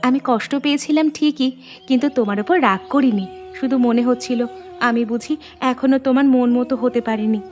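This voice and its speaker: মহিলার কণ্ঠে চড়া গলার লাজুক তরুণী বৌমা. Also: female voice, on the high side, brisk, a little mellow, close to an everyday voice. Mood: apologetic. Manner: earnest, apologetic, deferential. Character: young daughter-in-law, soft-hearted, shy, eager to please. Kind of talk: animated moral story dialogue. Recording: music behind the voice.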